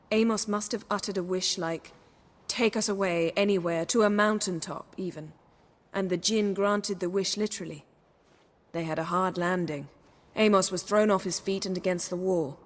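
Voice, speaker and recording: A woman's voice: on the low side, natural voice. A woman playing a cool, scholarly sorceress in a video game, speaking in an articulate, precise and self-assured way.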